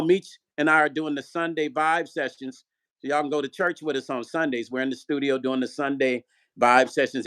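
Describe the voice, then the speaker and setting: A man's voice: a little high, a bit bright. A middle-aged man hosting a casual livestream, reading viewer comments aloud and urging people to tap the screen, in an upbeat, repetitive way. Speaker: livestream host.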